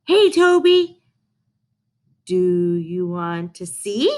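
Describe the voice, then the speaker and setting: Woman reader as kindly storybook dog handler, brisk, urging, calls jump up in pitch. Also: very animated, a little mellow, full character voice. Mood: cheerful urging. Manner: urging, calling out.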